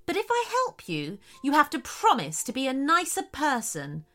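Woman playing a calm, high-voiced storybook mermaid. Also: normal speed, exaggerated voice. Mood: confident. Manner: assured, matter-of-fact. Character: calm, confident, kindly young female mermaid.

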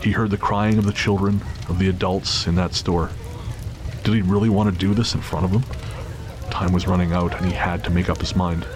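Deep-voiced man narrating dramatically. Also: level tone, brisk. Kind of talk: dramatic scripted story narration. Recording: clear background noise and ambience.

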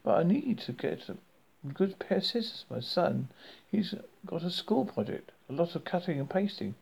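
A man voicing a polite, measured middle-aged woman shopping. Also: high voice, sing-song, gravelly, darkish tone, breathy edge, voice pushed up, exaggerated voice.

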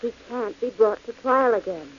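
A woman's voice: fairly high pitch, moderate pace, lightly acted. A woman playing a wry, doubting companion. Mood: skeptical. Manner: dry, skeptical. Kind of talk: 1940s radio acting. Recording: dull old radio broadcast with hiss.

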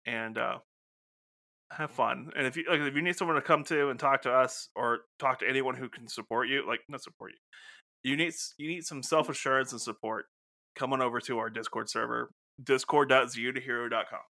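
A man with a bright, forward voice hosts a podcast in a loose, informal style. He talks casually and jokes along the way, recorded dry and close with little room sound. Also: medium pitch, a little fast, creaky, no room sound. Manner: joking, informal. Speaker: podcast co-host. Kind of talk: casual banter.

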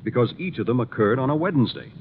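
A man playing an earnest, worried insurance executive in a 1950s radio drama, speaking gravely and emphatically. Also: medium pitch, sing-song, fast, lightly acted. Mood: grave, urgent. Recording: dull, faintly noisy 1950s radio recording.

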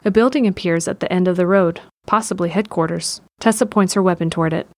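A woman's voice: fairly low pitch, quick pace, darkish tone. A woman reading scripted narration for an audio drama.

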